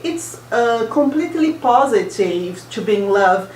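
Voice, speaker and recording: A woman's voice: fairly high pitch, lively intonation, moderate pace, a little mellow, a little hiss or hum. Earnest woman from Brazil. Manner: earnest, reflective. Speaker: student guest. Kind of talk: casual discussion. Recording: echoey room, far from mic.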